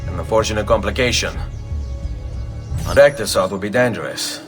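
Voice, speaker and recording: A man playing a low-voiced, solemn Italian assassin. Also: lightly acted. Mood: resolute. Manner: measured, solemn, formal phrasing. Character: grave, resolute Italian Renaissance assassin, a grown man. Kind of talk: dramatic video game cutscene acting. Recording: music behind.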